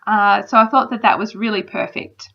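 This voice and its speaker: A woman's voice: medium pitch, normal speed. Chatty woman with a slight cold. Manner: chatty, relaxed, frequent ums. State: battling a cold. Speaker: crafter narrating a process video. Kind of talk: casual voice-over narration. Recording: slightly dull lo-fi sound.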